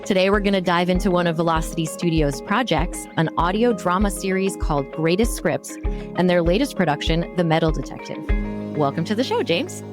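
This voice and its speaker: A woman's voice: on the low side, expressive, fairly quick, over music. A woman hosting a podcast interview, introducing her guest and asking questions.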